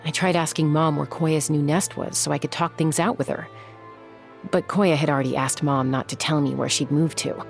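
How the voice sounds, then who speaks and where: Casting: a young woman warrior, calm and soft-spoken, slight fry, game score under her. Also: low-pitched, faint music behind. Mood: reflective. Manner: soft, measured storytelling. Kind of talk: video game voice acting.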